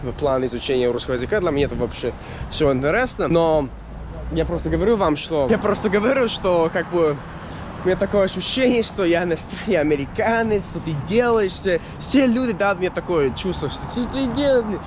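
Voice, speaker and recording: A young man with an American accent and a high voice talks casually to his viewers in a non-native language. He rambles, hunts for words, and restarts often. The recording is outdoors, with clear street traffic audible behind him. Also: lively intonation, fairly quick. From United States. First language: English. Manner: rambling, searching for words, many fillers and restarts. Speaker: vlogger. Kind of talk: casual chat to camera.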